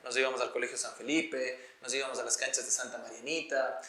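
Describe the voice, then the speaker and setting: A man's voice: medium pitch, flat delivery, quick pace, sharp tone, roomy sound. An Ecuadorian man speaking as an interview guest, explaining his views and experiences in an earnest, articulate, conversational way. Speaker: interview guest, sports journalist.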